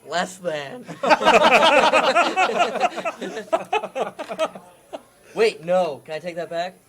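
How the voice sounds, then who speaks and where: High, animated, slow-talking male panelist. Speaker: comedian panelist. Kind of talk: casual chat.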